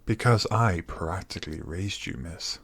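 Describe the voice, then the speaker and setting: A man acting in an audio roleplay as a devoted older butler, formal, courteous and reassuring with gentle wit. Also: low-pitched, animated, measured pace, gravelly, background noise, full character voice.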